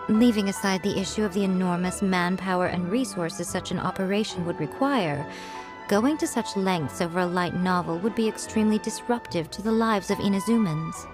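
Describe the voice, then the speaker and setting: A woman's voice: medium pitch, moderate pace, music in the background, close to an everyday voice. A woman playing a smug, scheming lady editor. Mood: sly. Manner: smug, teasing, composed and persuasive. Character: sly, confident adult woman editor, playful and scheming. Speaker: chief editor of a publishing house. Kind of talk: game voice acting.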